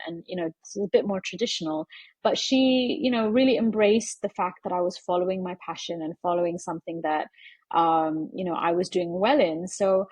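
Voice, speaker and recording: Husky-voiced woman in her forties. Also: mid-range voice, a little mellow, no echo. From London. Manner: earnest, measured, with frequent fillers. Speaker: interview guest, media executive. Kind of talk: reflective interview answers.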